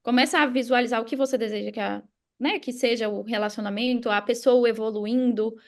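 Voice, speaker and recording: A woman's voice: fairly high pitch, steady tone, a little fast. A Brazilian woman speaking as a coach and podcast guest, explaining conversationally and emphatically, straight to the listener.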